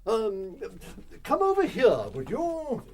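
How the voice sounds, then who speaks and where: An actor playing an eccentric, self-assured gentleman detective in a radio drama, musing aloud and making deliberate, pompous pronouncements. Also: very high-pitched, huge pitch swings, full character voice. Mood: deductive, pompous. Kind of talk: full-cast radio drama acting.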